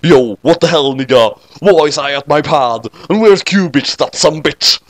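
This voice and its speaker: A man's voice: fairly high pitch, a little slow, no room sound, lightly acted. A man voicing a brash, street-talking teenage girl in a fan story, slangy and profane. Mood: indignant.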